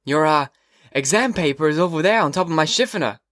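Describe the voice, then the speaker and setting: A man voicing an old, sarcastic male history teacher who lectures slowly and pointedly. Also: a little high, animated, a bit bright, no echo, studio quality, pitched above the performer's own voice.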